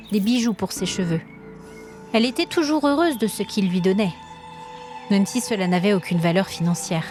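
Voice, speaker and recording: A woman storyteller reading scripted dramatic narration in an expressive, suspenseful manner. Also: medium pitch.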